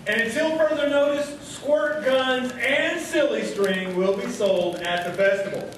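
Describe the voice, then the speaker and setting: A man's voice: extremely high pitch, unhurried, slight background noise. An American man hosting a live radio stage show in a joking, folksy way. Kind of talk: folksy live comedic emceeing. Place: live stage before an audience. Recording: echoey hall, distant mic, faint crowd.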